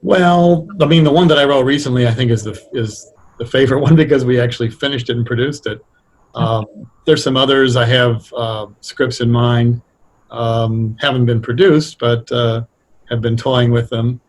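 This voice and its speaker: A man with a mid-pitched, somewhat husky voice. He explains his craft in a relaxed interview style, pausing to think and rephrase as he goes. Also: poor audio. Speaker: radio drama writer, interview guest. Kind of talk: casual explanatory interview talk.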